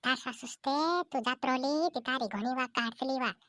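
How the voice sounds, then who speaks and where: A woman's voice: high-pitched, fairly even intonation, fairly quick, pushed and bright. A woman voicing a nosy older village woman who keeps questioning the young wife. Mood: prying. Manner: prying, questioning.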